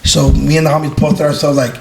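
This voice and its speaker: A man's voice: fairly high pitch, little pitch movement, fast, vocal fry, noisy background. A man in his twenties from Los Angeles, a guest on a casual podcast, talking loosely with lots of slang, fillers and swearing. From Los Angeles, United States. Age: 20s. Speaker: guest, online video creator.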